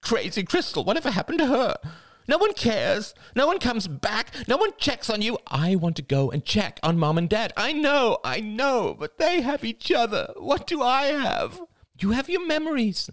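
A woman's voice: a little high, very animated, normal speed, voice pushed up. A narrator voicing a lonely older woman in her sixties, a former reporter, who talks in a self-pitying, tearful, wavering way. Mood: miserable.

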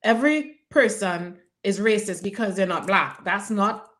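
A woman's voice: mid-range voice, a little slow, fairly bright tone. A woman moderating a panel discussion, speaking emphatically and repeating phrases for effect. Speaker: panel host.

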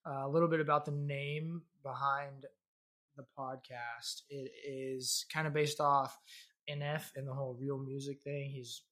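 A man's voice: mid-range voice, little pitch movement, a little slow, a bit bright. A man in his twenties from Kansas, hosting a casual podcast chat in a loose, conversational way with many fillers. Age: 20s. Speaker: podcast host.

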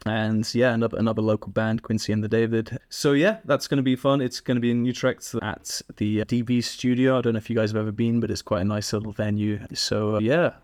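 A man's voice: lowish voice, fast talker, hardly any pauses, no echo. Chatty young Englishman, dry close sound. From England. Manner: relaxed, chatty storytelling with frequent laughs and fillers. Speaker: podcast guest. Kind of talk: casual interview chat.

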